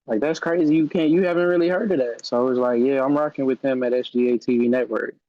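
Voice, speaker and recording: A man's voice: mid-range voice, fast, no echo, lo-fi sound. A man talking as a guest on a casual video-call podcast, loose and enthusiastic with lots of fillers. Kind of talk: casual chat. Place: home room on a video call.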